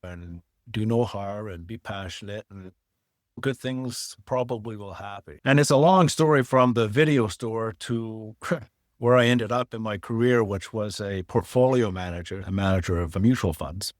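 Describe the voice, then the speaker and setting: A man's voice: lowish voice, moderate pace, no echo, clean studio sound. A middle-aged man raised in Canada, a former fund manager and author, talking plainly as a podcast guest. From born in Wales, raised in Canada. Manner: plain-spoken, explains step by step.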